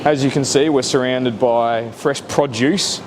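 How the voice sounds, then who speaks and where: A young Australian man chats to the camera like a mock presenter, cheeky and blokey. His voice sits at an ordinary pitch. The handheld recording is thick with loud background noise. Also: fairly even intonation, unhurried. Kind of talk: casual mock-presenter vlog chat. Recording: very noisy handheld vlog audio.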